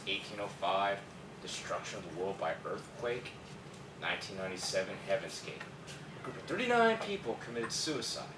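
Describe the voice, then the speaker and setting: A man playing a bitter, sarcastic office worker in a screenplay table read, with an exasperated manner. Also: on the low side. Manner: sardonic, exasperated, swearing. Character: bitter, sarcastic male office worker jaded by daily routine. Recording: distant, echoey room with background noise.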